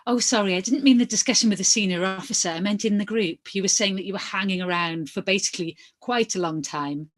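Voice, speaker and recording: A woman playing a female lawyer in a verbatim hearing reading. She questions formally and methodically, in a measured, probing manner at a middling pitch. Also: close to an everyday voice.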